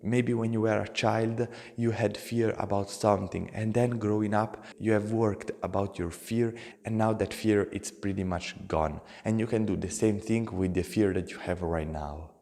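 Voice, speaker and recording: A man's voice: fairly low pitch, fairly even intonation, normal speed, creaky, few pauses, mellow, big-room sound. A young man with an Italian accent, talking earnestly to camera as a motivational channel host, in simple non-native phrasing.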